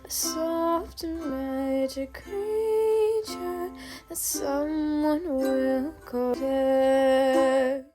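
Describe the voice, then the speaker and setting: A woman as very slow, high-voiced radio announcer. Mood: soothing. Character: measured, sweet old-time radio announcer woman. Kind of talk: old-time radio announcing and advert. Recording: loud music under video call audio.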